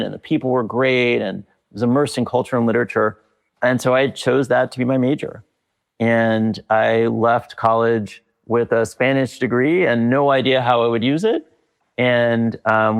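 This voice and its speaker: A man's voice: mid-range voice, gravelly. A middle-aged man being interviewed, speaking thoughtfully with frequent fillers and restarts. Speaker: guest, leadership consultant. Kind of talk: reflective conversational interview.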